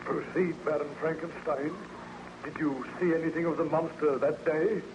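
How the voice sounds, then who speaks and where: A courteous ship's captain, played by a man in a vintage radio play. He speaks formally and with measure in a slightly high male voice. The sound is muffled and noisy. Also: fairly even intonation, moderate pace, close to an everyday voice. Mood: attentive, courteous. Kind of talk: old-time radio drama acting. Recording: noisy, muffled old-time radio recording.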